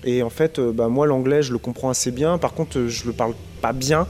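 A man's voice: mid-range voice, a little fast, darkish tone, faint music behind. A man in his thirties from Brittany, answering interview questions in a casual, hesitant way with many fillers and restarts. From Brittany, France. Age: 30s. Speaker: interviewed musician.

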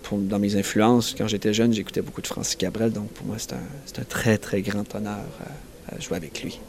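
A young man with an Acadian French accent, a singer-songwriter answering interview questions in a halting way, often restarting and searching for words. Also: on the low side, fairly even intonation, vocal fry, darkish tone. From New Brunswick, Canada. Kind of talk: casual festival interview.

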